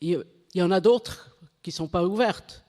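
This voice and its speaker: A woman giving a conference talk in French, which is not her first language, halting and correcting herself as she goes. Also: fairly low pitch, warm tone. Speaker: conference speaker. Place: conference hall. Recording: reverberant hall.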